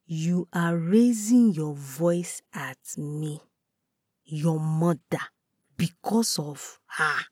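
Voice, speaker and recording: A woman voicing a domineering older mother-in-law, loud, scornful and commanding. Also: low-pitched, drawn-out words, dry recording, studio quality, exaggerated voice. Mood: indignant.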